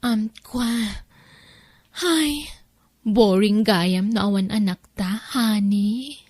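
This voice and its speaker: A woman's voice: mid-range voice, drawn-out words, a little mellow, no echo, clean studio sound. An Ilocano-accented woman playing a coaxing, insistent wife in a radio-style drama, speaking emphatically.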